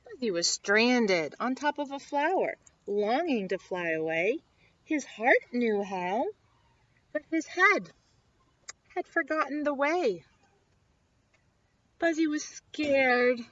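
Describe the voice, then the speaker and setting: A woman reading a children's picture book aloud expressively, with dramatic, drawn-out emphasis on key words. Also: high voice, lively intonation, a bit bright. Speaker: story time reader. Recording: dull lo-fi sound.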